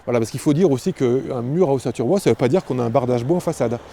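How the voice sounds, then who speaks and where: A man giving a spontaneous guided explanation as an architect, halting and often repeating words as he goes. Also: mid-range voice, vocal fry, dark tone, slight background noise. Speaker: architect presenting a building project.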